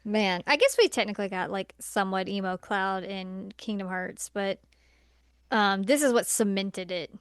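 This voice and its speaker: A woman's voice: medium pitch, normal speed, clear, resonant tone, dry recording, clean studio sound. A woman co-hosting a podcast, chatting casually about films in a blunt, exasperated, colloquial way. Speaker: podcast co-host. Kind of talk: casual opinionated film discussion.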